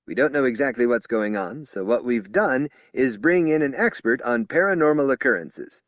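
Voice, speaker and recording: A man's voice: medium pitch, expressive, normal speed, no echo, voice pushed up, close to an everyday voice. A man voicing a flashy, balding male police spokesman who barks orders at a crowd of officers. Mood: official. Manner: shouting, commanding.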